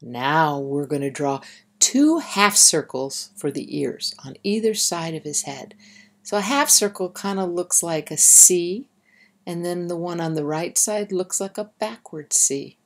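Unhurried, husky woman reading to children. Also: low-pitched, animated. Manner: simple, instructive, addressing children directly. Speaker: storybook reader and drawing guide. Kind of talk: read-aloud to young children.

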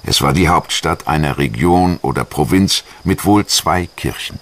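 A man reading a scripted history documentary narration in a measured, articulate storytelling manner. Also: rumbling low. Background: music.

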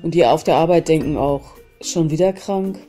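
A woman with a cold hosting a self-help channel, calmly guiding a tapping session and repeating short phrases between named tapping points. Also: sits low, normal speed, faint music behind. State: has a cold. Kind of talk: guided EFT tapping session.

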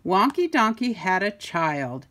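An older woman reading a children's picture book aloud in a playful, sing-song way with deliberate emphasis. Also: medium pitch, big pitch swings, fairly bright tone, slight background noise. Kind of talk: storybook read-aloud.

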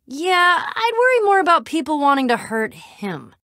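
Wry young adventurer girl from a video game, high voice, super dry studio sound, casual and snarky. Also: sing-song, normal speed, bright, forceful tone, no echo, heavily acted. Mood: casual, teasing. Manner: casual, sarcastic, plain-spoken.

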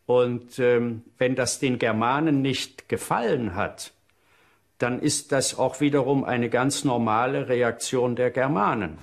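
A man giving deliberate, explanatory commentary as an expert interviewed for a documentary. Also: mid-range voice, vocal fry.

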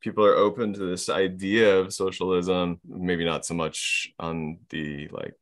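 Low-voiced man, rambling and sardonic. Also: level tone, unhurried. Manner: rambling, many fillers, sardonic. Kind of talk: casual conversation.

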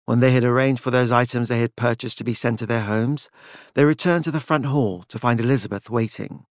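A man's voice: medium pitch, steady tone, a little fast, no room sound, clean studio sound. A man narrating a dramatic work of fiction as an audiobook.

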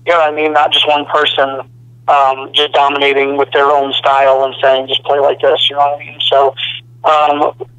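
A young man, a rock band's singer, talking on a phone interview in a relaxed, rambling way full of "you know.". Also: mid-range voice, normal speed, clean tone, dry recording. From Arizona. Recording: dry telephone line.

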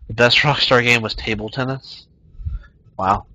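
Bright-voiced young man streaming casually. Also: medium pitch, fairly even intonation, unhurried, poor audio. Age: 20s. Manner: rambling, reads chat aloud, many fillers. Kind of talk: casual live-stream chat while gaming.

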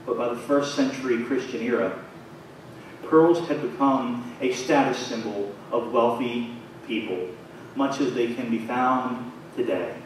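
Man preaching in an echoey church. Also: mid-range voice. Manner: measured preaching, voice rising and falling at phrase ends. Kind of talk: sermon. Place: small church sanctuary. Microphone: far camera, pulpit mic. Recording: very echoey church from far off, faint noise.

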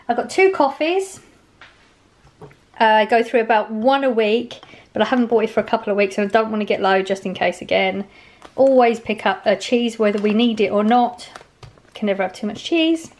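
A woman in her forties chatting casually at home in her kitchen, rambling cheerfully about the bargains she bought. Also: on the high side. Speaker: vlogger. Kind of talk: casual grocery haul chat.

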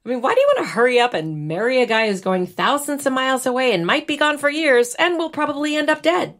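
An actress playing a wistful, teasing young bride in a naturalistic audio drama. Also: female voice, sits high, animated, fast, fairly bright tone. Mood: wistful frustration. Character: wistful, teasing young bride whose wedding was cancelled.

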